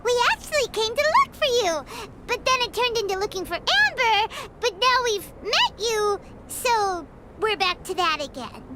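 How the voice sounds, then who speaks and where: A woman voicing a tiny, chatty, excitable fairy companion in a video game, with a squeaky childlike voice and an exclamatory manner. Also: normal speed, few pauses, slight background noise, full character voice. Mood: explaining. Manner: exclamatory, refers to self in third person. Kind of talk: animated video game voice acting.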